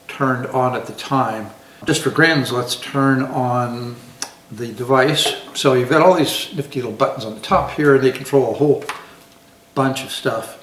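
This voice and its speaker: An older man, sixty or above, giving a casual product demonstration in an easygoing, folksy, plain-spoken way. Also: mid-range voice. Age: 60s or older. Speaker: product reviewer. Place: home dining room, indoors. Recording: echoey room, distant microphone.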